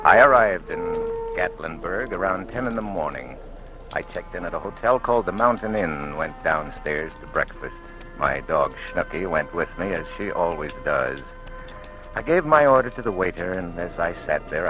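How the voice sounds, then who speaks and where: A man's voice: low voice, rough recording. An actor playing a wry, observant blind man who narrates his story in a dry first-person manner, in 1940s radio drama style. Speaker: narrator and lead character. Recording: old radio recording with clear music.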